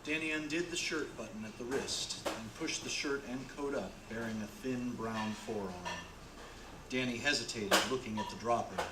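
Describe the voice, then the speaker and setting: A man's voice: medium pitch, normal speed. A man narrating a radio play in a dramatic storytelling style. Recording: roomy with clear background noise.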